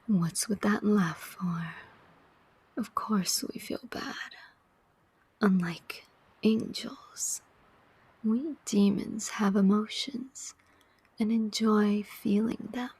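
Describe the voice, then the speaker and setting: Slow whispering demon soul collector, acted by a woman. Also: medium pitch, steady tone, a little mellow, breathy edge, no room sound, exaggerated voice, poor audio. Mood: sly, righteous. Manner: slow, deliberate, coaxing whisper with pointed questions. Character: persuasive, sardonic demon collector of souls. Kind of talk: whispered ASMR roleplay.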